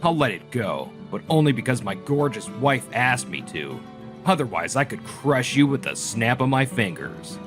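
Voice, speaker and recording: A man's voice: mid-range voice, very animated, normal speed, music in the background, close to an everyday voice. A man playing an arrogant, condescending rich young groom in a manga dub. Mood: threatening.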